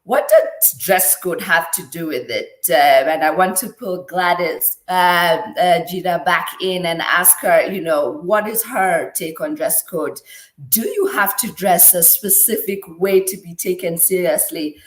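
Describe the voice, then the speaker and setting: A woman's voice: on the low side, a little slow. A woman co-hosting in a conversational style and posing questions, recorded in an echoey room. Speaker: co-host.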